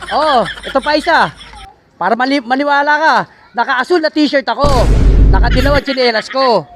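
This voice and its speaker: Very high-pitched cocky man amid heavy noise. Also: moderate pace. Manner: taunting, cocky. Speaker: prankster faking a phone call. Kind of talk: loud casual phone talk. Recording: very noisy outdoor street recording.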